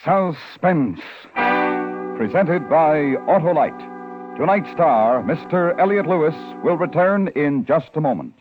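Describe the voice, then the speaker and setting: A man's voice: on the high side, expressive, slow, music in the background. A man announcing formally in the style of a 1950s radio show's credits. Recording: tinny 1950s radio broadcast.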